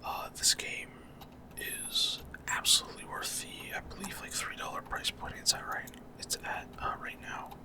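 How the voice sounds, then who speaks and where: A man whispering casual, rambling gameplay commentary for ASMR in a breathy, unvoiced whisper, often hesitant as he searches for words. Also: moderate pace. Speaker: gaming ASMR creator.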